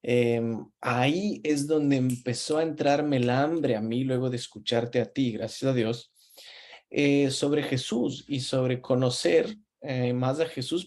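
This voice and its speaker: A man in his thirties from Ecuador with an Ecuadorian Spanish accent, hosting a relaxed conversational interview and talking with easy pauses and restarts. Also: mid-range voice. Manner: relaxed, talks with frequent fillers and restarts. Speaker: host.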